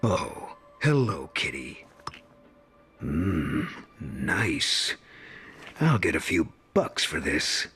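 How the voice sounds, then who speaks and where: A sly villain in a comic game who pretends to be heroic. The voice is on the low side and slow, with big smug swings of tone. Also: male voice, very animated, fairly bright tone, heavily acted.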